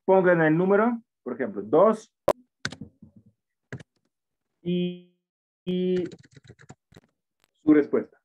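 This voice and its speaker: A man's voice: sits high, very animated, drawn-out words, long pauses, a little hiss or hum. A man in his forties with a foreign accent in Spanish, teaching an English class online in a patient, encouraging way that often repeats itself. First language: not Spanish. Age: 40s. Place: indoor room at a computer. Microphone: computer mic on a video call. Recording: tinny phone-like online call audio.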